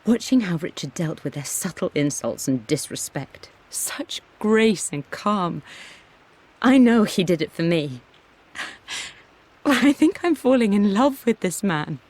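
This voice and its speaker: A woman's voice: medium pitch, big pitch swings, slow, a little mellow. An actress playing a young, strong-willed English noblewoman with a refined English accent, reading a personal journal in a video game. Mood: tender, resolute. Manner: refined, wry. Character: aristocratic young Englishwoman, defiant, wry, in love.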